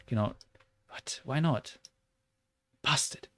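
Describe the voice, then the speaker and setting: A young Australian man livestreaming while he works, chatting loosely with lots of "like" and muttering curses at his software. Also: medium pitch, very slow, a little hiss or hum. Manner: rambling and loose, heavy on "like", muttering and swearing at the software. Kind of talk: casual livestream chat while 3D modeling.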